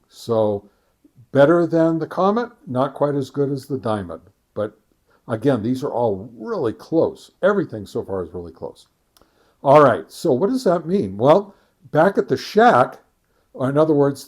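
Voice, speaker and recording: A man giving an informal product review as a channel host, speaking in a halting, deliberate way with frequent hesitations and self-corrections. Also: mid-range voice, a little mellow.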